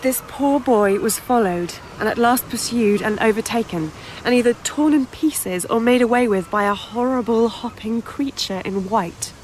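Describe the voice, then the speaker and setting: A woman's voice: moderate pace, a little mellow, natural voice, rough recording. A woman playing a keen, bookish film crew assistant in an audio drama, explaining things in an earnest, informal way. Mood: reading aloud. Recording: faint outdoor ambience and rumble.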